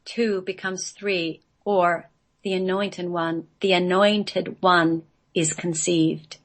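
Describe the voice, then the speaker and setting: A woman reading radio play narration in a measured, solemn manner. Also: fairly low pitch, steady tone. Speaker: guest, playwright reading own play.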